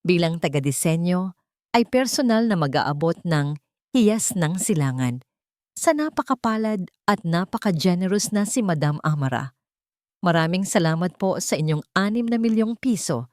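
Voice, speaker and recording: A poised young heiress gives a gracious public speech in an audiobook drama, voiced by a woman narrator. She is composed and sugary polite, with a Filipino accent. The voice is fairly low and dryly recorded. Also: lively intonation, moderate pace, a little mellow, dry recording, studio quality, natural voice. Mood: sweetly gracious. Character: poised young heiress designer giving sweetly cutting speech.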